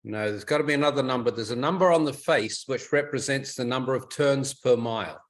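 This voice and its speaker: Man, medium pitch, informal technical explainer, methodical, instructive, on a conference call. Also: a little fast. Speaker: club technical expert advising a caller. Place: home, on a group conference call. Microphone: telephone or conference call line.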